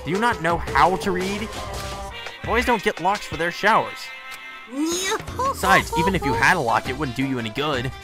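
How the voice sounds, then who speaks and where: A man's voice: fairly high pitch, wildly swooping pitch, moderate pace, loud music behind the voice, pitched above the performer's own voice. A man voicing a mischievous, taunting teddy bear villain from a visual novel game, with theatrical, teasing delivery. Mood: mocking. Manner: theatrical, teasing, pun-loving.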